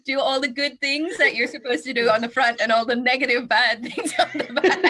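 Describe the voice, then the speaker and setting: A woman hosting an interview, cheerful and quick to laugh. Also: high-pitched, fairly even intonation, pushed and bright. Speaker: interview host. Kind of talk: casual interview.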